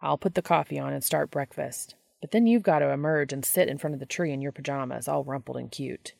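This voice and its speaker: A woman voicing Dean, a low, gravelly, teasing vampire man. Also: brisk, a little mellow, no room sound, clean studio sound, exaggerated voice. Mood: coaxing. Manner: playful, coaxing, informal.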